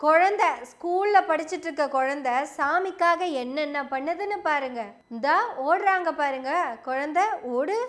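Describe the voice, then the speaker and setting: Very high-pitched sarcastic woman commentator. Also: moderate pace, fairly bright tone. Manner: sarcastic, rhetorical questions. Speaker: political commentator. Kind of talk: opinion monologue to camera.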